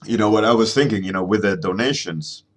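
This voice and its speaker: A man in his thirties from Sweden with a deep voice, chatting casually and rambling as he streams himself drawing, answering his chat at a desk microphone. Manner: rambling, halting, many ums and restarts, chuckling, answering chat. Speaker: artist streaming a drawing session.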